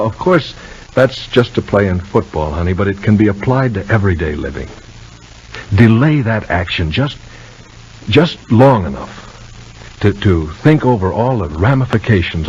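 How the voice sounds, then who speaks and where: Kind folksy big brother on old radio soap, deep husky voice, gently coaxing, muffled sound. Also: male voice. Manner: gentle, halting, folksy persuasion. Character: caring, protective brother giving homespun sporty advice. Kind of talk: 1950s radio soap opera acting. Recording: muffled 1950 radio drama recording.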